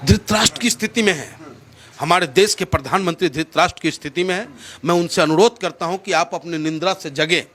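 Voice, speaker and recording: Male interviewee, high forceful voice, oratorical delivery with emphatic repetition, political speechmaking style. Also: brisk. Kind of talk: impassioned political interview answers.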